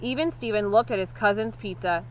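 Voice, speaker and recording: A woman teacher reading a children's story aloud expressively, leaning deliberately on key words. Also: medium pitch, poor audio. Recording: clear background noise.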